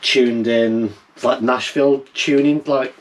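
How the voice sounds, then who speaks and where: A middle-aged man chatting casually and informally to camera, explaining things in a halting way. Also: medium pitch, steady tone. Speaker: vlogger, amateur radio hobbyist. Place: small wooden cabin, indoors. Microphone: camera a few metres away. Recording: distant camera mic, noisy room.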